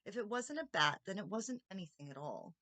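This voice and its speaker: A motherly storybook housekeeper, voiced by a woman reader. She is brisk and no-nonsense, firm and dismissive. The recording is close and dry. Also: mid-range voice, expressive, sharp tone, dry recording. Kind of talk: storybook character reading.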